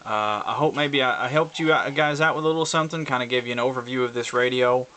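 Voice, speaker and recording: A man talking casually through a hands-on tutorial, explaining things in a halting way as he thinks aloud. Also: mid-range voice, sharp tone. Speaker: hobbyist video presenter.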